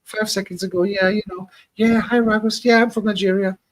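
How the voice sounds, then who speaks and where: A man's voice: high voice, level tone, brisk, voice pushed up, natural voice, poor audio. Man mimicking a pestering repetitive chat viewer. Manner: repeats the same lines over and over.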